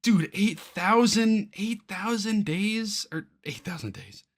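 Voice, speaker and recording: A man giving casual reaction commentary as he reads aloud from a web page, excitable and quick to exclaim in disbelief. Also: sits high. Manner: excitable, easily incredulous, exclaiming. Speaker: YouTuber reacting to a game's crowdfunding page.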